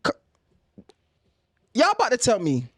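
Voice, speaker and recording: Emphatic man in his thirties, high voice. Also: huge pitch swings, unhurried, a bit bright, slightly rough, dry recording, studio quality. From Baltimore. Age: 30s. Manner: emphatic, repeats words when pressing a point. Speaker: podcast guest, journalist. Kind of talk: heated casual conversation.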